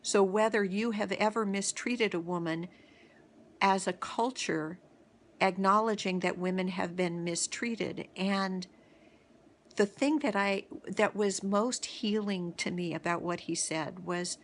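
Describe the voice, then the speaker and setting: A middle-aged woman telling a reflective personal story as host of a daily video series, halting often, with pauses and restarts. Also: medium pitch.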